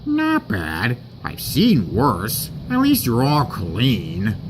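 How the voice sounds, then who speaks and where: A man's voice: medium pitch, huge pitch swings, extremely slow, noisy background, heavily acted. A man voicing a snooty, boastful diesel engine that talks with smug condescension, in a homemade story.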